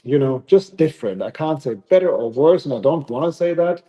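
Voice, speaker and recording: A man from Germany with a German accent, who runs a dog training facility, talking thoughtfully with many pauses in a casual interview. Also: on the high side, expressive, mellow.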